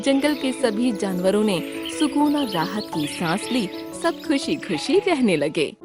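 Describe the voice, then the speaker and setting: A woman's voice: mid-range voice, very animated, unhurried, music in the background. A woman narrating a scripted children's cartoon story.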